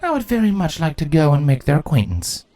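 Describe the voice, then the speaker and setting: A man's voice: fairly high pitch, very animated, fast talker, dark tone, dry recording, pitched above the performer's own voice. A man voicing a firm, formal and caring female changeling queen in a fantasy storybook. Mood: firm, composed.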